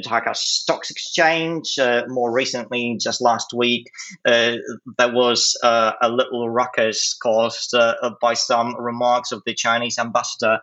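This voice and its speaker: A man, speaking as an interview guest in a hesitant, measured way with long pauses. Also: mid-range voice, sharp tone, lo-fi sound. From Poland. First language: Polish. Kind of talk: conversational analysis in an interview.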